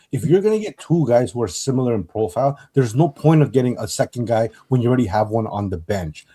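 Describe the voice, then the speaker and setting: Husky man arguing a football point. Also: medium pitch, warm tone. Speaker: football podcast panelist. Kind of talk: casual group football debate.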